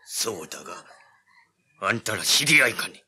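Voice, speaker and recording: An actor playing a suspicious, embittered old village man in an audio drama, with husky, menacing rustic speech. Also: lowish voice, big pitch swings, moderate pace, a crowd in the background. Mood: wary.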